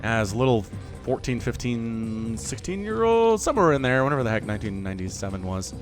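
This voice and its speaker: A man in his thirties casts a real-time strategy match on his own, his voice leaping up and down as the action builds. He talks in a chatty, excitable way and drifts into jokey asides, with faint music playing under him. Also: mid-range voice, a little fast. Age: 30s. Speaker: game caster. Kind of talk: solo esports play-by-play commentary.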